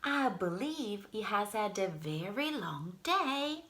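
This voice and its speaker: A woman's voice: medium pitch, expressive, slow pace, a bit bright, roomy sound, pitched above the performer's own voice. A woman voicing a polite, helpful hotel bellhop with a courteous, accommodating manner. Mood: cheerful.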